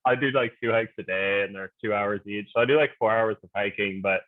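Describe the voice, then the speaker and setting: A man's voice: lowish voice, fast talker, no echo. A man from Ontario, co-hosting a casual game fan livestream, talking in an easygoing way with many fillers. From Ontario, Canada. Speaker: co-host, game fan group. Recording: dry, tinny phone-like audio.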